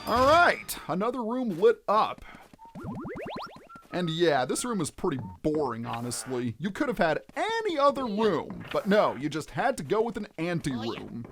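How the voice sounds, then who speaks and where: A gaming video host giving chatty, expressive let's play commentary and explaining things clearly as they go. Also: medium pitch, unhurried. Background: game music.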